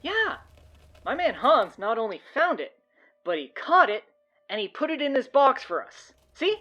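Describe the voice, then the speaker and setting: A woman playing an excitable, dim-witted teenage boy at school, eager and prone to stammering. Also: high-pitched, moderate pace, muffled recording, faint music behind, heavily acted. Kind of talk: comedic audio drama acting.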